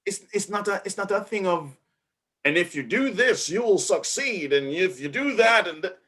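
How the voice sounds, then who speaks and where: Jamaican man, interview host, enthusiastic, fairly high voice. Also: normal speed. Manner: enthusiastic, mixes in patois, animated. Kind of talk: conversational interview.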